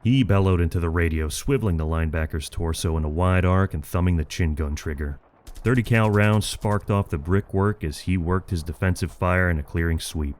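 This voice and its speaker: A man giving dramatic scripted narration of a military story in a measured, grave manner. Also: sits low, darkish tone.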